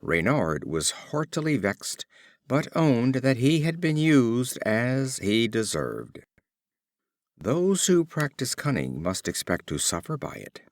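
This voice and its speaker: A man reading short fables as an audiobook narrator, with measured, expressive storytelling phrasing. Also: mid-range voice, dry recording. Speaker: audiobook reader.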